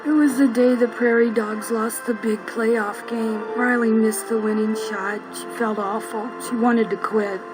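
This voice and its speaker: A woman playing a gloomy, timid cartoon emotion in an animated film, speaking in a mournful, downcast way. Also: fairly high pitch, measured pace, few pauses, natural voice, poor audio. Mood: glum. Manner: mournful, glum. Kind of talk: animated film character acting. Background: music.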